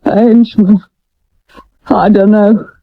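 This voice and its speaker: An actress playing a hurt, bitter Victorian woman in a 1970s radio drama, with a deliberate delivery. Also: mid-range voice, fairly even intonation, dry recording. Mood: wounded, bitter. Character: hurt Victorian woman, former lover of actor-sleuth, bitter, demanding. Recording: dull, dry old radio recording.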